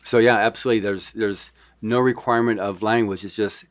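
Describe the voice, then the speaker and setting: A man's voice: lowish voice, fairly even intonation, fairly quick, dry recording. A man hosting a podcast, asking casual questions with easygoing fillers. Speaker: podcast host. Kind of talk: casual interview.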